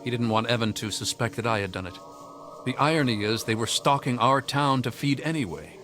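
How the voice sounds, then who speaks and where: A young man voiced by a game actor, subdued and reflective, talks plainly like a troubled small-town orphan. His pitch is average, and faint music plays behind him. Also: brisk, a bit bright, close to an everyday voice. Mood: somber, confessional. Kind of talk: video game dialogue.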